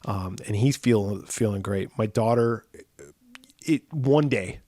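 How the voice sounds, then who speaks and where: A man in his forties with a slightly hoarse, raspy voice, still worn from illness. He co-hosts a podcast in loose, rambling chat full of fillers and restarts. His voice sits fairly low and the recording is close and dry. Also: slow pace, warm tone, dry recording. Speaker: podcast co-host. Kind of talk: casual chat.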